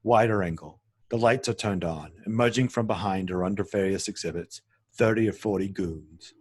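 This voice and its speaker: A man serves as narrator for a screenplay table read, delivering scene headings and action lines. His voice sits fairly low and has a noticeably husky, rough edge. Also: moderate pace, a little mellow. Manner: stumbles and corrects himself while reading. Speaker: narrator reading stage directions.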